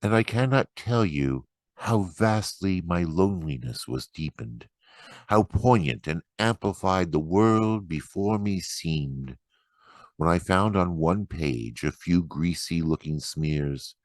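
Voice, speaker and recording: A man giving a dramatic, expressive and deliberate reading of poetry. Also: low voice, dry recording. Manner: expressive, deliberate, acts out quoted remarks. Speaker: poetry reader.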